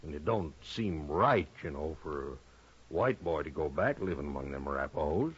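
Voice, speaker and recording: An American actor playing an uneasy rural Western rancher in a 1950s radio drama, husky, drawling and halting. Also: male voice, a bass voice, expressive, heavily acted. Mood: pleading, resigned. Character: folksy, uneasy frontier rancher and father, hesitant. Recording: dull lo-fi old radio recording.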